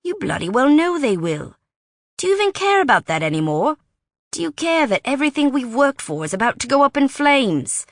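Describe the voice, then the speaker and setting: A woman's voice: high-pitched, big pitch swings, a little fast, no echo, studio quality, voice pushed up. A woman voicing an impatient, stubborn young British man who scolds urgently. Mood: heated.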